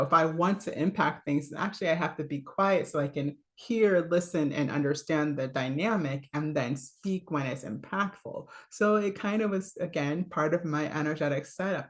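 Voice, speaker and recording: A woman coach with a low voice, giving a casual, chatty talk full of fillers, little laughs and "right?". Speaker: voice and leadership coach.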